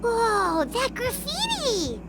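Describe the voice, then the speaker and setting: A woman voicing a small childlike fairy companion in a video game, earnest and cheeky, with a squeaky voice. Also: wildly swooping pitch, takes it slowly, heavily acted. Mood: impressed. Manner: refers to self in third person, squeaky and earnest.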